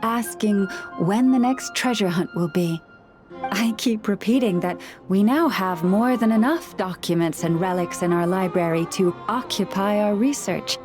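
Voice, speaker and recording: Game librarian, gracious and patient woman , played by a woman, mid-range voice, clear game music behind. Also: mid-range voice, measured pace, close to an everyday voice. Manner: calm, polite, softly formal. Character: gentle, courteous woman librarian and relic keeper who talks to animals. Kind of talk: video game voice acting. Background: game background music.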